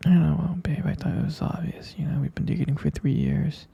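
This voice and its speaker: A man playing a gentle, sleepy vampire boyfriend in an intimate ASMR bedtime roleplay, murmuring tenderly and hesitantly in a breathy, gravelly half-whisper. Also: a little high, huge pitch swings, fairly quick, no echo, muffled recording, full character voice. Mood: patient, explaining.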